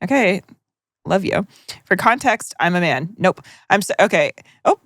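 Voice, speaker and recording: A woman's voice: fairly low pitch, expressive, moderate pace, a bit bright, no echo, studio quality. A young woman co-hosting a chat podcast, reading a listener's letter aloud with joking, profane asides. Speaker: podcast co-host.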